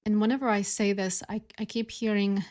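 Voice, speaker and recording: A woman hosting a spiritual podcast, speaking in a gentle, contemplative monologue with soft pauses. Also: medium pitch, level tone. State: recovering from losing her voice. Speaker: podcast host.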